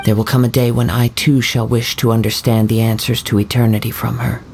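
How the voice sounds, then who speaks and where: Man voicing a calm, gravelly young samurai. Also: mid-range voice, slight background noise, natural voice. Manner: calm, measured, poetic. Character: calm young male wandering samurai, poetic. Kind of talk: video game voice acting. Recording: soft game music underneath.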